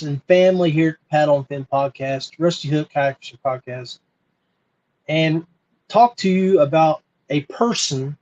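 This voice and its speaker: An American man hosting a podcast, talking informally and earnestly with frequent pauses. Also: on the high side, darkish tone, lo-fi sound. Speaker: podcast host. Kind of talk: informal solo podcast talk.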